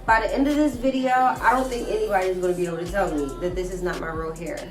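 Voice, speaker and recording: A woman talks her viewers through a wig install in a chatty, friendly-tutorial way, her voice a little stuffy from a cold. She sits back from the mic in a roomy-sounding space. Music plays clearly underneath. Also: medium pitch, steady tone, fast talker, distant mic. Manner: chatty, keeps addressing viewers as guys. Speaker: hair vlogger. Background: background music.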